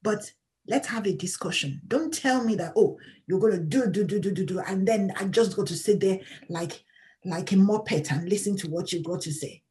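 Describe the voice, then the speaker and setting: A woman's voice: mid-range voice, normal speed, darkish tone. A woman guest in an interview, talking candidly as a patient advocate in an emphatic, outspoken way and laughing often.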